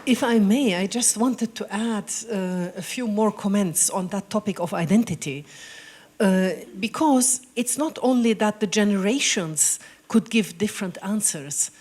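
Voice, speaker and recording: A woman speaking on an academic panel, explaining her points in a measured way. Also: medium pitch, a little mellow.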